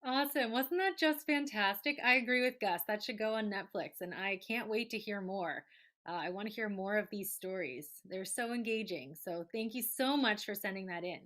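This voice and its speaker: A woman's voice: mid-range voice, moderate pace, a bit bright. A woman hosting a show, presenting casually between segments in an enthusiastic, effusive manner. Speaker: show host.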